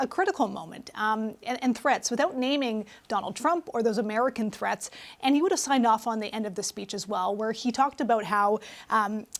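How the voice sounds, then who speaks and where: A Canadian woman reporting the news conversationally in a studio. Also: fairly high pitch.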